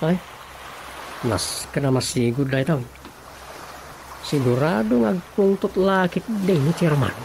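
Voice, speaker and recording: A man's voice: on the high side, a little slow, creaky, pauses often, darkish tone, a little hiss or hum, poor audio. A man playing a harried, placating village councilor in an Ilocano-accented radio comedy drama. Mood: fretful.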